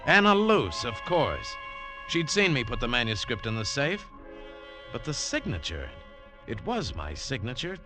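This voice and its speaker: A man's voice: mid-range voice, wildly swooping pitch, measured pace. A man playing a tough, wary American adventurer who narrates his treasure hunt in clipped, hardboiled 1940s radio style. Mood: intense, brooding. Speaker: lead actor and narrator. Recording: old 1940s radio broadcast, music behind.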